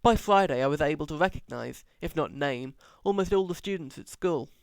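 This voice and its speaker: A man reads a novel aloud with sarcastic, exaggerated emphasis. His voice is smooth and very animated. It is recorded dry and close. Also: a little high, brisk, dry recording. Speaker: amateur audiobook reader. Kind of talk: sarcastic audiobook narration.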